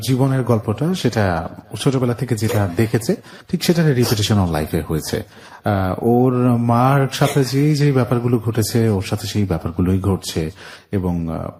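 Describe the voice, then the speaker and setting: A man with a Bangladeshi accent hosting a life-story radio show, speaking to guest and listeners in a measured, earnest, deliberate way with reflective pauses. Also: lowish voice, dark tone.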